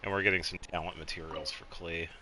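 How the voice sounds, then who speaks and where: A man casually commentating a game on a live stream, chatting with viewers and thinking aloud in a low-key, rambling way. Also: steady tone, fast talker, sharp tone. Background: game music.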